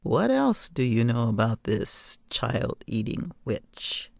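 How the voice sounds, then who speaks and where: Woman voicing a wry, creaky old forest woman. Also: a bass voice, very animated, dry recording, pitched below the performer's own voice, full character voice. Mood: teasing. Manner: measured, wry, teasing. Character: kindly, amused old woman of the woods. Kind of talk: storybook character reading.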